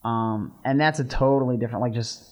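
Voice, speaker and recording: A man's voice: medium pitch, brisk. A young man with a Texan accent, co-hosting a college radio show in casual, rambling chat. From Texas. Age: young adult. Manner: relaxed, rambling with many "like" fillers.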